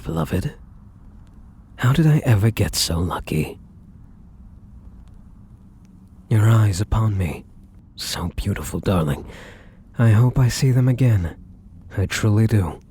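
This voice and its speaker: A man playing a devoted, courtly vampire lover in an ASMR roleplay, with a husky, breathy voice and formal, flowery endearments. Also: lowish voice, animated, measured pace, pauses often, a little mellow, slight background noise. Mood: tender, solemn. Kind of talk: ASMR roleplay, scripted romantic monologue.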